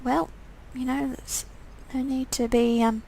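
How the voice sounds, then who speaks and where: A woman's voice: high voice, little pitch movement, measured pace, gravelly, mellow, slight background noise, low-quality recording. A woman reading a visual novel game aloud in dramatic narration, breaking off for casual, off-the-cuff comments.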